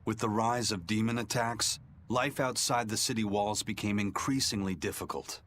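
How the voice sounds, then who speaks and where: An actor playing a serious, learned man in a video game, explaining history in a measured, expository way. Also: on the low side, fairly even intonation, fairly bright tone, a little hiss or hum, natural voice. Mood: earnest, explanatory. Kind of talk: scripted video game dialogue.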